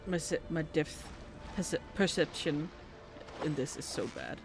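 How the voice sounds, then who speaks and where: A woman's voice: deep voice, lively intonation, a little slow, noisy background. A woman giving casual video game commentary as a streamer, muttering short offhand remarks to herself. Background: game music.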